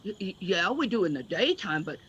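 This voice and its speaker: A woman's voice: mid-range voice, very animated, a little fast, a bit bright, lo-fi sound, slight background noise. An actress playing a suspicious, stammering married woman who runs a rural roadside stand in a radio play. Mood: wary, nervous.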